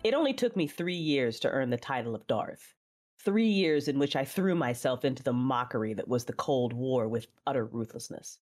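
Hardened Sith mother, low voice. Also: expressive, normal speed, darkish tone. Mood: measured, brooding. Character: hardened, ruthless Sith mother recounting her life. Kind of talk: first-person dramatic narration.

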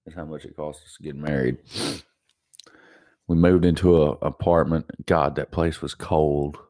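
A man's voice: extremely low pitch, steady tone, moderate pace, dark tone, dry recording. A man hosting his own podcast, stuffed up with allergies, talking casually and rambling, often repeating himself. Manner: rambling, repeats himself, self-deprecating laughs. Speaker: podcast host. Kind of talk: casual solo podcast monologue.

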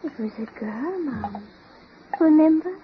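Dreamy, childlike farm wife, very high and slow, old radio drama. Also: female voice, lively intonation. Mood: dreamy, wistful. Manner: dreamy, wistful delivery. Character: childlike, wistful farm wife grieving a baby. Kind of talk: 1930s radio drama acting. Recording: muffled, noisy 1930s radio recording.

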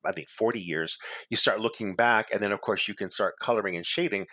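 A middle-aged man from Massachusetts, hosting a conversational interview about writing, reflective and quick to laugh, often repeating his phrases. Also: fairly low pitch, sharp tone, no room sound. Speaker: host, memoir author.

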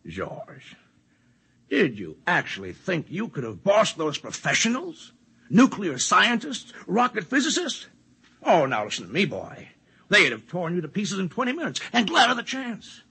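1950s radio drama: domineering male cynic, gravel-rough and sharp, sardonic, hugely varied intonation. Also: high voice, wildly swooping pitch, full character voice. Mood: scornful, domineering. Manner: sardonic, theatrical drawn-out emphasis. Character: cynical, mocking male boss, domineering and condescending.

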